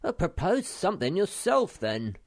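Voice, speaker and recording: A young troll with an earnest, eager voice, as a man puts him on, pitched well above the reader's own. It is recorded close and dry, with a little gravelly texture. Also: big pitch swings, takes it slowly, a little mellow, dry recording, exaggerated voice.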